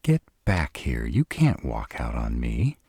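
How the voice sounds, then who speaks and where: A man's voice: rumbling low, huge pitch swings, slow, dark tone, husky, exaggerated voice. A man playing a sarcastic, irritable vampire in an intimate roleplay audio drama, grumbling and dramatic in his delivery. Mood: indignant, alarmed. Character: sarcastic irritable male vampire, stranded in a human's home.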